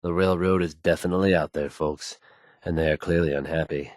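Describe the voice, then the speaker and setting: An actor playing a smooth, self-assured male radio DJ and announcer in a video game. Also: extremely low pitch, fairly even intonation, a little fast, no echo, clean studio sound. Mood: assured, wry.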